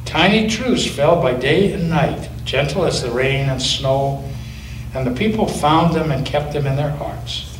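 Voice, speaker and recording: A man, a pastor, reading a children's story aloud in a church with expressive storytelling, recorded from a distance with a roomy echo and faint hiss. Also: a little high, unhurried. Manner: expressive storytelling, with occasional slips.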